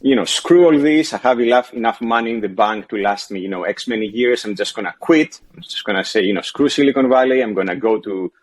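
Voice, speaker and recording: A man's voice: fairly low pitch, fast talker, few pauses. A man in his thirties, originally from Greece, calling in to a show and talking hesitantly with many restarts. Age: 30s. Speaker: caller. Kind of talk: casual phone-in conversation.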